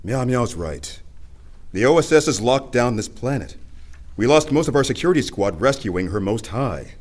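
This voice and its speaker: A practical male officer voiced by an actor in a radio drama. His mid-pitched voice is firm, reasonable and matter-of-fact. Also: very animated, normal speed. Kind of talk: full-cast radio play acting.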